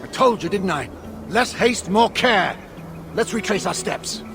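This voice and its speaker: A man's voice: high voice, expressive, unhurried, gravelly, music in the background, heavily acted. A man playing a wry, bickering dwarf rebel in a video game, talking in a dry, teasing, grumbling manner. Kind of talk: conversational video game acting.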